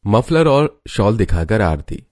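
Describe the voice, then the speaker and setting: A man's voice: lowish voice, big pitch swings, unhurried, a little mellow. A man narrating a scripted moral story as its storyteller.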